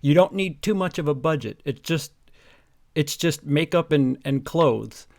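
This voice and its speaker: A man with a fairly high but creaky voice chats casually as a podcast co-host. He riffs wryly in halting phrases, and the sound is very dry and close. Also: animated, darkish tone, no echo. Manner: halting, wry riffing. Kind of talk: casual comedic chat.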